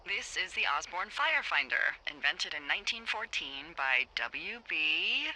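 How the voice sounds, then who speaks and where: An actress playing a wry, bossy, foul-mouthed fire lookout supervisor in a video game, teasing and casual over a handheld radio. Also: female voice, on the low side, big pitch swings, measured pace, sharp tone, full character voice, poor audio. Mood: breezy, friendly. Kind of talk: naturalistic video game dialogue.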